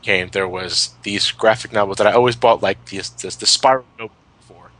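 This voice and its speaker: A man in his twenties chatting casually as a podcast commentator, reminiscing in a halting, sweary way and often repeating his words. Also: fairly low pitch, steady tone, fairly bright tone. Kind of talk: casual retrospective chat, reminiscing.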